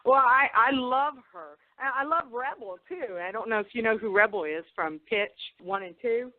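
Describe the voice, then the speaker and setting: A woman's voice: fairly high pitch, lively intonation, moderate pace, no echo. Woman co-host on a phone-like line. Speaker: co-host interviewer. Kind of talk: casual chat interview.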